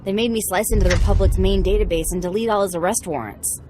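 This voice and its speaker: A woman plays a defiant enslaved hacker in a sci-fi video game. She speaks at a medium pitch with dry sarcasm. Music plays behind her. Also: fast talker, darkish tone, lightly acted. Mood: eager bargaining. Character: sardonic enslaved female hacker, defiant and bargaining. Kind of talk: video game dialogue acting.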